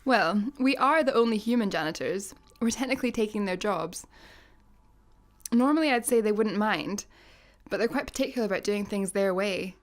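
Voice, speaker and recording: An actress playing an exasperated, sarcastic space janitor in a comedy radio play, wry and articulate. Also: female voice, mid-range voice, normal speed, lightly acted. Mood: wry, weary.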